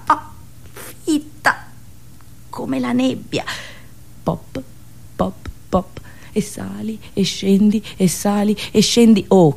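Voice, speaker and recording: A woman with a rural central Italian accent telling a theatrical monologue, expressive, with dramatic pauses, repetitions and slips into rustic dialect. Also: medium pitch. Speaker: storyteller and radio show host.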